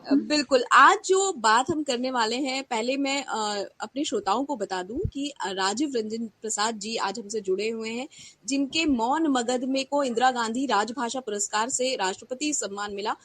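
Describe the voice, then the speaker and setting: A woman with a fairly high voice co-hosts a live literary conversation. She speaks as an interviewer addressing listeners and guest, pausing now and then mid-sentence as she goes. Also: a bit bright, dry recording. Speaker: co-host interviewing an author.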